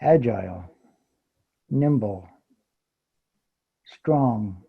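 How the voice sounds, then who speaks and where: A man in his seventies sharing an unscripted personal reminiscence at an online memorial, halting with long pauses between words. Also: on the low side, animated, gravelly, slightly rough. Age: 70s. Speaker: memorial speaker. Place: online video call. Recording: dull, lo-fi online call audio.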